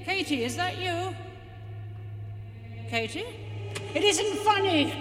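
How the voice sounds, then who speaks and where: A woman's voice: extremely high pitch, lively intonation, takes it slowly, full character voice. A woman playing a frightened, pleading figure on a creepy tape in an audio drama, audibly panicked. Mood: terrified, pleading. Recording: distant echoing hall, loud music.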